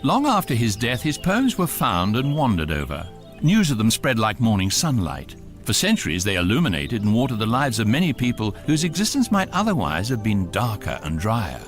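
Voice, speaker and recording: A man's voice: fairly low pitch, wildly swooping pitch, moderate pace. A man with a British accent as the urbane, wry voice of a galactic guidebook, narrating a scripted radio comedy. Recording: faint music under the voice.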